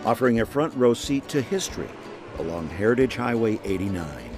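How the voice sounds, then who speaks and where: A man's voice: mid-range voice, expressive, a little fast. A man reading scripted narration for a heritage travel program. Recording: music under the voice. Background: background music.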